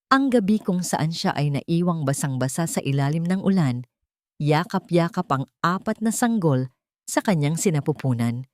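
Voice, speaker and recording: Low-voiced woman narrating a story. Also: no room sound, clean studio sound. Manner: steady, emotive storytelling. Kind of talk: scripted narration of a love story.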